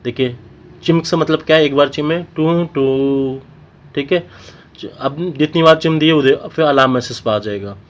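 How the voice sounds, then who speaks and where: A man's voice: fairly high pitch, moderate pace, lo-fi sound. A man with an Indian accent giving an informal technical tutorial, explaining step by step and often checking in with short tags. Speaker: technical instructor.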